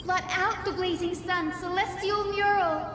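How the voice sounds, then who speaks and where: A girlish fantasy game character, chants dramatically at an extremely high pitch. The sound is echoey, like a hall, with game ambience around it. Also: steady tone, a little slow, bright, forceful tone, a little hiss or hum, full character voice. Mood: incanting. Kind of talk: dramatic game battle incantation.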